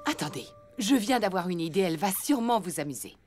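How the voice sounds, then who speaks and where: A woman playing a cheerful, enthusiastic elephant mum in a children's cartoon, expressive and motherly. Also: lowish voice, fast talker, faint music behind.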